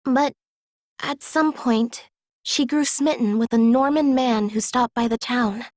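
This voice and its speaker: Actress as earnest young game heroine, high voice, unhurried, soft, hesitant, emotional, dry close sound. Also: female voice, no echo, lightly acted. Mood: pained recollection. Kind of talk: English video game voice acting.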